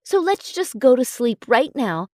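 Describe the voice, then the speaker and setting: A woman's voice: sits high, sing-song, a little slow, dry recording, studio quality, pitched above the performer's own voice. A woman reading a tender young werewolf alpha. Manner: soft, coaxing delivery.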